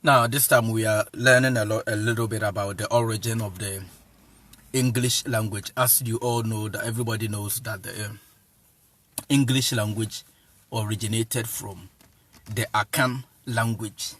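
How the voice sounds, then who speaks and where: A man's voice: on the low side, steady tone, normal speed, a bit bright, a little hiss or hum. A man with a Ghanaian accent giving an informal lecture to viewers in an emphatic, repetitive way, phrase by phrase. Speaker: online evangelist and teacher.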